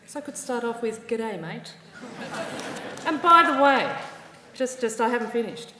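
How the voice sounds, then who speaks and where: A woman's voice: a little high, animated, background noise. Australian woman addressing a reverberant hall. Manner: warm, measured speech with wry asides. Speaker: university ceremony presider. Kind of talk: formal ceremony address. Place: large ceremony hall.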